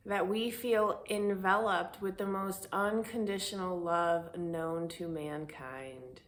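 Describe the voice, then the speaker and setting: A woman leading a spiritual guided meditation, speaking in a soothing, deliberate way with pauses between phrases. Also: mid-range voice. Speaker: guided meditation and prayer host.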